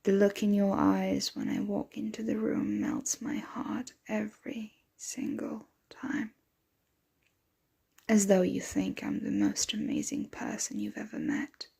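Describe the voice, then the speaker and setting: Breathy half-whisper, slow pace, ancient female vampire played by a woman, doting, seductive, wistful, ASMR roleplay. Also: medium pitch, flat delivery, warm tone, full character voice. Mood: adoring, gentle. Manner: slow, tender, soothing, refined wording.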